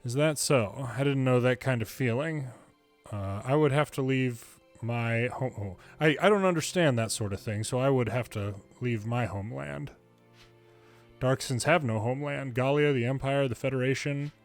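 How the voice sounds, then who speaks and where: A man's voice: mid-range voice, normal speed, faint music behind. A man reading video game story dialogue aloud in English, lightly acting out the different characters. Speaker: fan reader of game dialogue.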